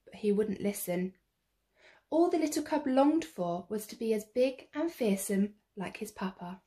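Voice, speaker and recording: A woman reading a children's picture book aloud in an expressive, theatrical way, drawing out the action words. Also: medium pitch, a little slow. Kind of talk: expressive read-aloud storytelling.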